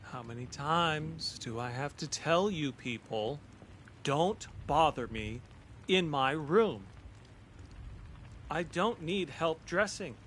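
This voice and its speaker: A man voicing a huffy, irate peacock in a cartoon video game, with a theatrical, swooping voice and drawn-out, emphatic delivery. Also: a little high, animated, a bit bright. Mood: indignant. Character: huffy, irate male peacock guest in a mansion. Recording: music playing behind the voice.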